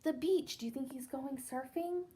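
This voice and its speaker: A woman's voice: high voice, normal speed, mellow. A young woman teacher reading a picture book aloud to children, counting along and asking them questions.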